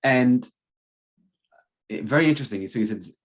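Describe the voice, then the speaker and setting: A man's voice: mid-range voice, moderate pace. A man hosting an interview show who asks questions and reacts with enthusiastic, encouraging remarks.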